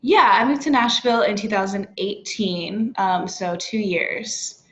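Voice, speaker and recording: A woman's voice: medium pitch, a little slow, dull audio. A young woman from Washington State, a country singer as a guest, telling her story fluently and friendly. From born in Congo, grew up in Washington State. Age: young adult. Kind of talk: casual interview chat.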